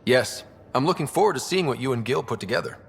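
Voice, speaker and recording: Animated, gravelly young space hero. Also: male voice, medium pitch, quick pace. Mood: warm. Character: young, easygoing, wry human space explorer hero. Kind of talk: naturalistic video game dialogue acting.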